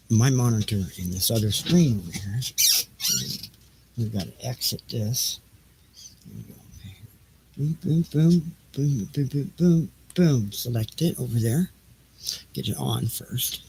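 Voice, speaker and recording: A man's voice: medium pitch, animated, a little slow, gravelly, long pauses, mellow. A middle-aged man chatting off the cuff on a homemade livestream, rambling and half muttering to himself as he fiddles with his gear. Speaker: livestream host. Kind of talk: casual, unscripted livestream chatter. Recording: noisy homemade livestream setup.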